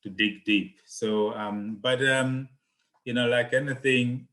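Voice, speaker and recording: A man's voice: mid-range voice, fairly even intonation, takes it slowly, pushed and bright. A middle-aged man from Malawi, telling his story in an interview with many fillers and restarts. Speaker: guest, accountant and property investor. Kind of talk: conversational interview.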